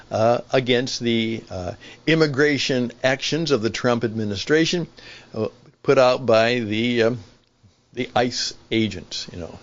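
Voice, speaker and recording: An older man, over sixty, hosting a solo radio-style podcast as a veteran broadcaster, talking conversationally in deliberate, emphatic phrases and often rewording as he goes. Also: medium pitch, dull audio. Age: 60s or older.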